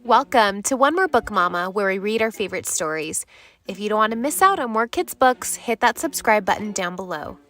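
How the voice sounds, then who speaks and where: A woman hosting a children's read-aloud, giving expressive scripted storybook narration. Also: medium pitch, a little fast. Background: music.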